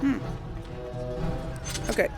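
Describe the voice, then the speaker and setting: A woman giving casual, chatty gameplay commentary, thinking aloud to her audience as she plays. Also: extremely slow. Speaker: let's player. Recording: voice over game music.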